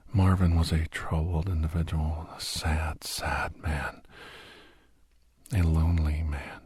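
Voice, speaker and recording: A man playing a grave, haunted doctor and war veteran in an ASMR horror audio drama, speaking slowly in a breathy, husky hush that often slips toward a whisper. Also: low voice, no room sound, full character voice. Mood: grave, sorrowful. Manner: slow, halting, hushed, often slipping into whisper.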